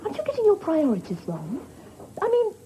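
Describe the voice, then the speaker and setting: A woman's voice: very high-pitched, wildly swooping pitch, brisk. A woman playing a sitcom role, talking in a fussy, prim and exasperated way. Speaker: sitcom supporting character. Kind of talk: 1980s British sitcom acting. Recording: studio audience laughter and noise.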